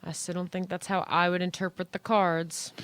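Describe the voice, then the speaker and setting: A woman playing a competitive, insistent young woman in an audio play, speaking in a brisk, assertive manner. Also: on the low side, little pitch movement, fairly bright tone, dry recording, lightly acted. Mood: defensive.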